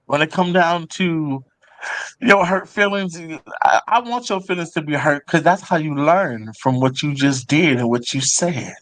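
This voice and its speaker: A man's voice: fairly high pitch, animated, measured pace, fairly bright tone, no room sound, rough recording. A man in his thirties hosting a casual, opinionated panel talk show, speaking bluntly and emphatically and often repeating phrases for weight.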